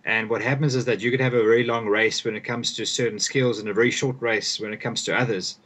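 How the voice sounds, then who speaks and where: A man's voice: medium pitch, fairly even intonation, fast, a bit bright. A young man from South Africa, a guest author, giving thoughtful conversational answers and often repeating words as he restarts sentences. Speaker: guest author and performance coach.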